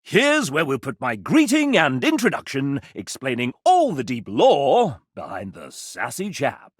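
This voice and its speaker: An actor voicing a sassy, vain gentleman mascot in a video game, theatrical and pompous, with flamboyant old-fashioned phrasing and a husky edge. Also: medium pitch, huge pitch swings, unhurried, dry recording, clean studio sound, exaggerated voice. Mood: grandly boastful. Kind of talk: video game character dialogue.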